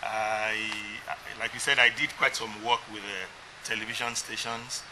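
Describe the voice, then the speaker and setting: A man interviewed in a TV studio, speaking in a measured, thoughtful way with ums. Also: fairly low pitch, little pitch movement, sharp tone. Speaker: guest, language technology CEO. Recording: noisy studio.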